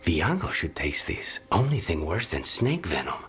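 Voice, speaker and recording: An actor playing a fussy, suave, easily appalled connoisseur in a video game. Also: male voice, sits low, sing-song, measured pace, music in the background.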